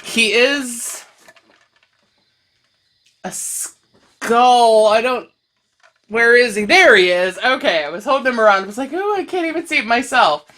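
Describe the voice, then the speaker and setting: A chatty woman talks her viewers through a crochet project, her bright voice a little scratchy and tired after a long day of talking. She speaks slowly and easily and often stops to laugh at herself. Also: fairly high pitch, expressive. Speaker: crochet video host. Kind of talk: casual crochet chat vlog.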